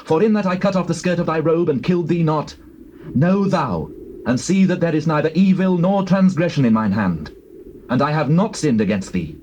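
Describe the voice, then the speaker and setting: Impassioned young biblical warrior, animated delivery by a man. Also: a little high, normal speed, muffled recording, lightly acted. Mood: impassioned pleading. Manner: declamatory, impassioned, archaic phrasing. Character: earnest, righteous young warrior pleading with his king. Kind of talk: dramatized scripture, classical stage acting. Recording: hissy old cassette tape transfer.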